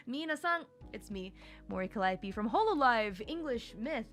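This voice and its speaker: A woman hosts a relaxed chat on a streaming mic, talking in a bubbly, chatty way and laughing often. Her tone is bright and forceful, with faint music playing behind her. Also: a little high, unhurried. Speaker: host. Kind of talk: casual chat.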